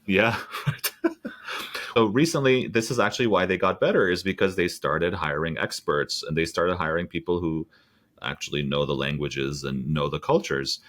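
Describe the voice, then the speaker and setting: Very deep-voiced man musing thoughtfully. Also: normal speed, a bit bright. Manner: thoughtful, hedging with fillers and restarts. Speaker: guest. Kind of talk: conversational interview.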